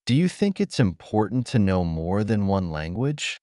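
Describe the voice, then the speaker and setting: Male examiner, formal measured reads, lively intonation, dry sound. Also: on the low side, no room sound. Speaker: examiner and channel host. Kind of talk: scripted exam questions.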